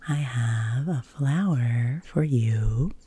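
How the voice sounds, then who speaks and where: Woman, ASMR guide, breathy whisper, very low pitch, slow pace, gentle, soothing. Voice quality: breathy, whispery soft-spoken.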